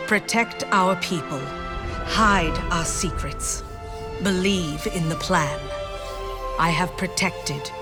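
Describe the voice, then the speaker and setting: Solemn woman warrior over music. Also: lowish voice. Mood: solemn resolve. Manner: formal, measured, earnest delivery. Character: solemn, loyal woman warrior sworn to her queen. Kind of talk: dramatic video game cutscene acting.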